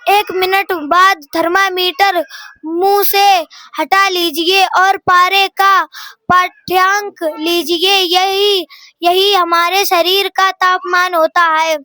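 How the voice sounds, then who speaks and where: A young schoolgirl with a very high, bright voice reads a textbook aloud. She goes slowly and haltingly, word by word, stumbling over harder terms. The sound is close and dry. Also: level tone, no echo. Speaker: student reading a lesson aloud.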